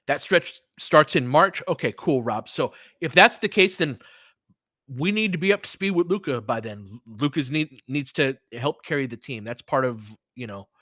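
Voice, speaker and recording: A man with a bright, forceful voice hosts casual live sports commentary. He talks conversationally, as if straight to his listeners, moving between play-by-play and analysis. The sound is close and dry. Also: mid-range voice, normal speed, dry recording. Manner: conversational, chatting back to listeners, mildly profane.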